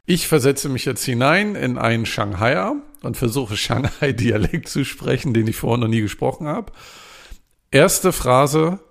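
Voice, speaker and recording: Guy with a bit of fry in his voice, joking around on a podcast. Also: mid-range voice. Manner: playful, laughs at his own attempts at dialect words. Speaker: podcast co-host. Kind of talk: casual podcast chat.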